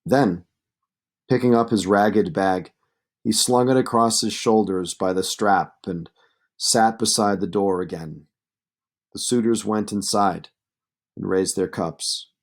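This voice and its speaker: A man's voice: on the low side, takes it slowly, long pauses. A man narrating epic poetry as a read-aloud. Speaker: reader, narrator.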